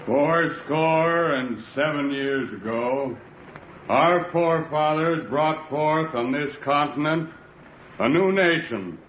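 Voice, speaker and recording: An actor playing a solemn president delivering a measured, declamatory speech in an old-time radio drama. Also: male voice, a little high. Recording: tinny, noisy vintage radio recording.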